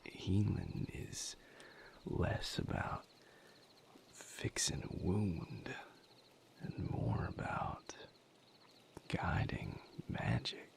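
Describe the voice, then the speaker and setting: A man playing a gentle, patient vampire healer in an intimate audio roleplay, speaking softly and reassuringly in breathy vocal fry with long pauses. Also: sits low, big pitch swings, whispered, exaggerated voice. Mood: calm, reflective. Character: gentle, patient male vampire healer mentoring his mate.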